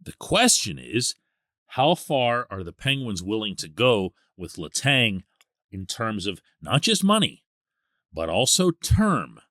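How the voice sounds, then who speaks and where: A man hosting a sports podcast, giving conversational solo commentary with emphatic, deliberate phrasing and dramatic pauses. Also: lowish voice, big pitch swings, a bit bright, no echo, clean studio sound.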